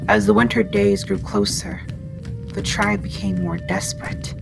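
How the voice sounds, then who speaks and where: Casting: actress as a caring female wendigo for ASMR roleplay, heavy vocal fry, gentle delivery, clear music bed. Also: medium pitch, fairly even intonation, a little slow. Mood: solemn storytelling.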